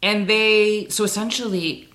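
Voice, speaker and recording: A man's voice: high voice, fairly even intonation, measured pace, pushed and bright, slight background noise. A man, a stage actor guest, in casual conversation, rambling and filling his speech with "like".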